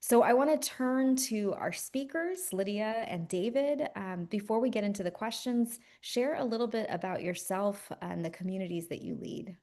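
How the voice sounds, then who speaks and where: A woman moderating an online faith panel, first reading a prepared introduction in a measured, earnest way. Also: mid-range voice, dark tone. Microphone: video call.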